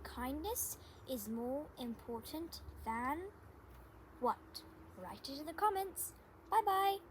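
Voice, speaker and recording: A female storyteller with a very high voice reads to children. Her pace is slow and measured, and a faint noise sits behind her. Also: animated, low-quality recording. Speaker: storybook reader, channel host. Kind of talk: children's story read-aloud.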